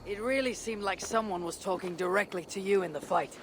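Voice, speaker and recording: A man's voice: high voice, a little fast, a bit bright, a little hiss or hum. A man playing a wary, skeptical adventurer in a video game, probing and challenging, distrustful of outsiders. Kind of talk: dramatic video game dialogue.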